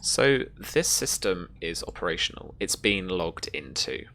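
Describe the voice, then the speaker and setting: A man improvising tabletop game narration as game master, with halting, hesitant phrasing. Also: low-pitched, expressive, pushed and bright, a little hiss or hum.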